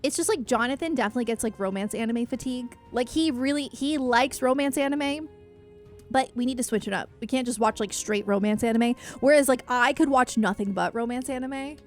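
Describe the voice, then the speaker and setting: Female streamer, fairly high voice, effusive and self-deprecating, rambling casually to chat over music. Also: fairly quick. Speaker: livestreamer. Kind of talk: casual chatty livestream, reading and answering chat.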